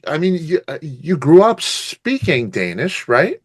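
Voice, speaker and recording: A man's voice: on the high side, very animated, a little slow, husky, no echo, clean studio sound. A man hosting a casual music interview, speaking enthusiastically with many fillers and agreeing readily. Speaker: interviewer, show host.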